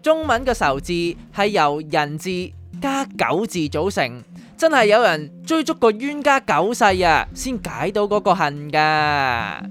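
A woman's voice: on the low side, big pitch swings, unhurried. A woman playing a flippant, philosophizing doctor of the afterlife, speaking Hong Kong Cantonese in a radio drama. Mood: flippant, wry. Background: faint music.

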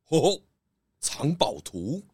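A man's voice: on the high side, big pitch swings, slow, music in the background. A man voicing a confident pig detective in a children's audio drama, composed, knowing and theatrical. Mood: excited discovery.

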